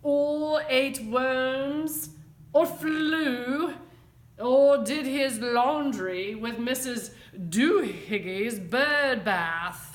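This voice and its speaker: Woman playing a stern, high-pitched scolding mother cat. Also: extremely slow, reverberant. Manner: scolding, emphatic.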